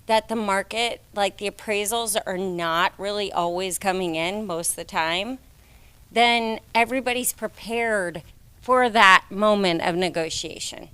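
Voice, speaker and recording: Loud, smooth-voiced woman agent. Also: medium pitch, unhurried, dry recording. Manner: loud-voiced, conversational, frequent "like". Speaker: real estate agent. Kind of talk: meeting discussion.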